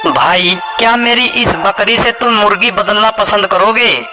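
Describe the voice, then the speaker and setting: A simple, trusting villager from a moral tale , played by a man. His voice sits high for a man. Clear music runs behind his storybook dialogue. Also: measured pace. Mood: friendly, bargaining.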